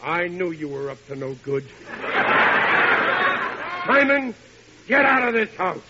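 A man's voice: sits high, big pitch swings, takes it slowly, over a noisy crowd, heavily acted. An actor playing a blustering, dim-witted working-class family father in a 1940s radio sitcom, indignant and folksy with ungrammatical speech. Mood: stern, angry. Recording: hissy vintage radio broadcast, dull.

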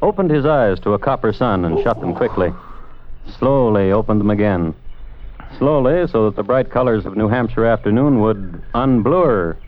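Guy narrating old radio play, low voice, lyrical and measured, tinny vintage sound. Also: animated. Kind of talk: scripted radio drama narration. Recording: phone-like, muffled old radio recording.

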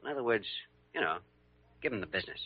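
Earnest, husky young doctor in old radio drama. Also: male voice, mid-range voice, expressive, fast talker. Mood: slyly coaxing. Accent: American. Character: earnest young male hospital doctor, persuasive and wry. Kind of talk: classic radio drama acting. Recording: dull old radio recording.

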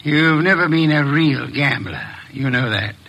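Fat pompous detective, formal diction, very bright voice, old radio drama. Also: male voice, on the high side, full character voice. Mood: calm, measured. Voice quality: formal, measured, elaborate diction. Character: fat, pompous, unflappable detective, calm and precise. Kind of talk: 1950s radio drama acting.

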